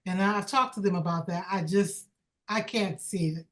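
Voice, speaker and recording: Woman host calmly steering a panel. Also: fairly low pitch, moderate pace. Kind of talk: moderating a panel.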